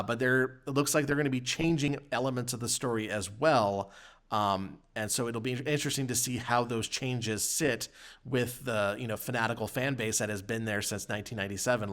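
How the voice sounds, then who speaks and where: A man's voice: mid-range voice, fairly bright tone. A man co-hosting a podcast, talking casually about video games. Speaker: podcast co-host.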